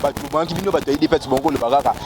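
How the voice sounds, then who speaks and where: A man's voice: on the high side, very fast. A man asking pointed questions as a street interviewer. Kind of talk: challenging questions. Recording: outdoor street noise.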